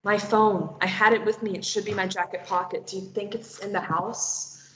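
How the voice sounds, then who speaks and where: A woman reading a sharp-tongued captive in a table read. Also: medium pitch, steady tone, lightly acted. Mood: urgent. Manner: sardonic, quick retorts. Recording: dull, roomy lo-fi recording with faint noise.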